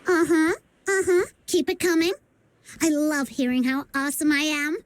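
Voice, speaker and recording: A woman's voice: sits high, moderate pace, lightly acted. A woman voicing a boastful, cheeky little self-styled demon king in a video game, smug and theatrical. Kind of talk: scripted video game acting.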